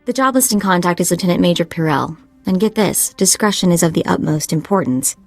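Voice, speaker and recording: A woman's voice: on the low side, animated, fairly quick, soft background music. A woman playing a keen young hacker sidekick in a video game, talking in a breezy, informal way. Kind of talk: video game cutscene acting.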